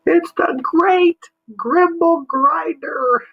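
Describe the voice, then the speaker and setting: A man voicing a crowd of panicky little Martians with a high, excited squeal, on a muffled lo-fi recording. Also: slow, husky, full character voice. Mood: alarmed.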